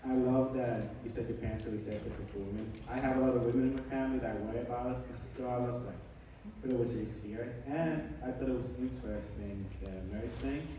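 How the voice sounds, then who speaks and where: A man's voice: mid-range voice, fairly even intonation, a little fast, dark tone. A man from the Dominican Republic in the audience, politely asking a question with hesitant fillers. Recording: echoey hall from far off, noisy.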